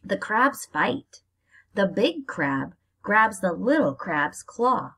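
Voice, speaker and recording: An American woman teacher reading aloud to young children and explaining as she goes, in a deliberate, expressive manner. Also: mid-range voice, breathy edge. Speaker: elementary school teacher reading aloud to pupils.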